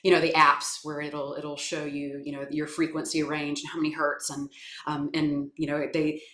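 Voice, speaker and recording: A woman from South Carolina with a naturally low voice, giving thoughtful, hesitant interview answers full of fillers and restarts. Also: flat delivery, moderate pace, a bit bright. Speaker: guest, voice coach and actor. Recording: echoey room.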